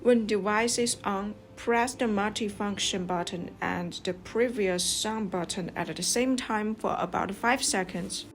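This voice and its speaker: A woman's voice: mid-range voice, unhurried, hardly any pauses. A woman narrating a scripted product review step by step as the reviewer. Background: music.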